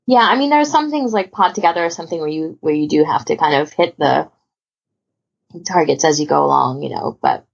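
Low, gravelly woman hosting casually. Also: big pitch swings, a little fast, dull audio. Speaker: podcast host. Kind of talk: casual panel chat.

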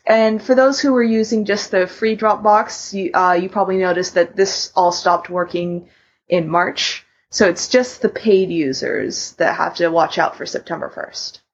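A woman speaks as a podcast host in a casual, explanatory way. Her voice is husky and mid-pitched, and the recording is a little dull and lo-fi. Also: measured pace. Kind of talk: casual podcast discussion and announcements.

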